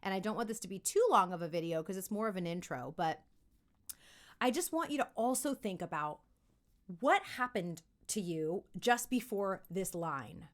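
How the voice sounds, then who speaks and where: Female kids' acting coach, very lively intonation, encouraging, emphatic, informal tutorial delivery. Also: mid-range voice, normal speed. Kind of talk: informal instructional video aimed at kids.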